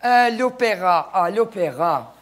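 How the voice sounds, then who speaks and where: A middle-aged woman talks as a guest on an interview show. She speaks in a halting way, restarting and repeating words as she gathers her thoughts. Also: mid-range voice, animated. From Acadia, Canada. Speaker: actress, guest. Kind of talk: casual interview chat.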